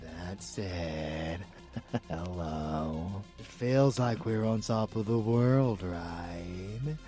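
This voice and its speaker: A man playing a murderous, possessive male vampire lover in an ASMR audio drama, theatrical and drawn-out, both adoring and menacing. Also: low voice, mellow, faint music behind. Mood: adoring, intimate.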